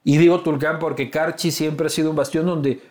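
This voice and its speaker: A man with an Ecuadorian accent and a slightly high voice. He interviews in a loose, slangy way, probing and teasing as he goes, and tends to repeat words while he builds a question. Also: fairly even intonation, fairly quick, darkish tone. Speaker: interviewer, host. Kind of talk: casual, slangy political interview.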